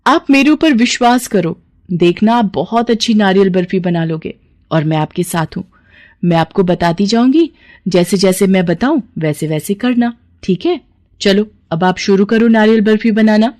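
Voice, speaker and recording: Woman as caring young wife, gentle, encouraging, affectionate, mid-pitched, storybook drama. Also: expressive, moderate pace, natural voice. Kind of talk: dramatized moral story dialogue.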